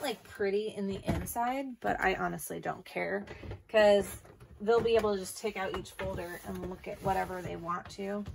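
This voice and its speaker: A woman in her thirties narrates her home cleaning in a relaxed, chatty voice-over, sprinkling "like" through her sentences. Her voice sits at a middle pitch and often settles into a gravelly fry as phrases trail off. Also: a little hiss or hum. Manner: chatty, filler-heavy with frequent "like". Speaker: cleaning vlogger. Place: home, indoors.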